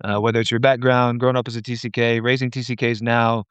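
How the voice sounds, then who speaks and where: A man co-hosting a podcast in casual interview chat, relaxed and a little hesitant as he talks. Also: mid-range voice, fast talker, smooth, dry recording. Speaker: podcast co-host.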